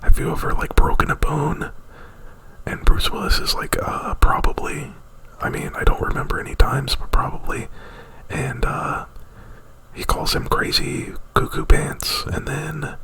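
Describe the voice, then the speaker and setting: A man whispering an ASMR retelling of a movie plot right up at the mic, in a halting, rambling, informal way. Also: on the high side, pushed and bright, low-quality recording. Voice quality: breathy whisper. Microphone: very close to the mic.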